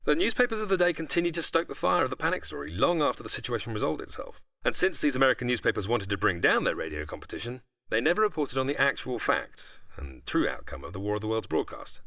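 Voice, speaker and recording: A man's voice: mid-range voice, very animated, fast, hardly any pauses, pushed and bright, no echo. A man giving prepared, articulate explanations as an expert guest, with a storyteller's delivery. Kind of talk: explanatory interview, largely prepared narration.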